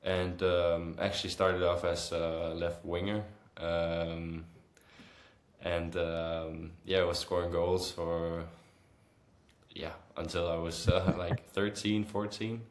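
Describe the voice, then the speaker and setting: A man in his early twenties with a Danish accent, answering casually in a podcast interview with frequent hesitant filled pauses. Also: deep voice, little pitch movement. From Copenhagen, Denmark. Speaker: interview guest, football goalkeeper. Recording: roomy, echoing sound.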